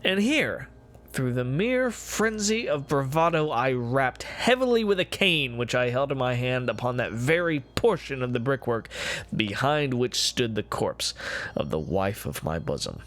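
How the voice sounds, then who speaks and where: Man performing as unhinged male killer, gloating confession, theatrical gothic horror delivery, ornate deliberate phrasing, mid-range pitch, music underneath. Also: very animated, a bit bright. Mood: mounting horror. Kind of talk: dramatic gothic horror reading.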